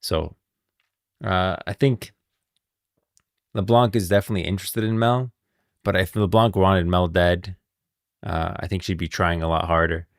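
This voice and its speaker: A man's voice: low voice, fairly even intonation, measured pace, gravelly, long pauses, dry recording, studio quality. A man giving casual, opinionated live-stream commentary, thinking aloud with emphasis.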